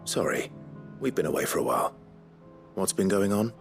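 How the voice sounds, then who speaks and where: A courteous, brooding fantasy game hero. The voice is low with heavy vocal fry, and he speaks tersely with quiet resolve. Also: big pitch swings, moderate pace, faint music behind, full character voice. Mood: calm, curious. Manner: terse, polite, understated. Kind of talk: video game voice acting.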